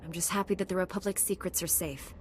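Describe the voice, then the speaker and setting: An actress playing an earnest, composed heroic knight in a video game cutscene. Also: female voice, on the low side, fairly even intonation, quick pace, long reverb tail, a little hiss or hum, lightly acted. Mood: earnest, resolute.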